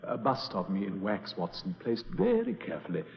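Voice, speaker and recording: An actor playing a brilliant, dryly amused male detective in a vintage radio drama, speaking in a measured, precise way. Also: fairly low pitch, wildly swooping pitch, gravelly, heavily acted. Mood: wry. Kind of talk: 1940s radio acting. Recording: muffled vintage radio recording with reverb.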